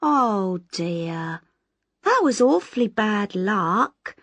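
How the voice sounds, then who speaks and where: A woman voicing a hopeful, struggling actress who talks with eager, breathless brightness. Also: mid-range voice, sing-song, very slow, no room sound, pitched above the performer's own voice, full character voice.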